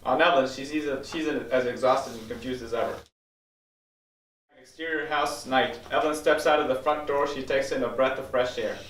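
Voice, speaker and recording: A man's voice: medium pitch, steady tone, fairly quick, a bit bright, slight background noise. A man reading screenplay stage directions aloud as scripted narration at a table read. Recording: roomy echo, distant microphone.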